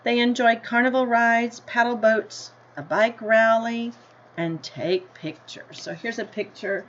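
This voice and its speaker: An American woman teacher reading a picture book aloud to children, deliberately, with simple explanatory asides and questions to her listeners. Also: a little high, fairly even intonation. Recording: dull lo-fi sound with noticeable background noise.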